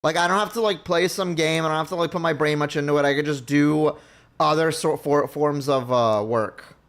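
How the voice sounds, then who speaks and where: Higher-voiced man rambling on stream. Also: moderate pace, fairly bright tone. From Mexico. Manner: rambling, thinking aloud with many restarts. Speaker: livestream host. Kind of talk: casual chat with viewers.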